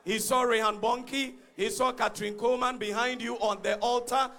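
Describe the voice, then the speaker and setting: A man's voice: extremely high pitch, flat delivery, brisk, vocal fry, a bit bright, faint music behind. A man, a pastor, earnestly giving a testimony in church. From Ghana. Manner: earnest, recounting a story.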